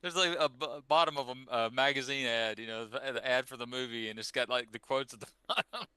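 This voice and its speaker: A man's voice: medium pitch, fast talker, sharp tone, clear, resonant tone, dry recording. An older man co-hosting a podcast in casual, joking conversation with friends. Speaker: podcast co-host. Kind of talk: casual, joking group chat.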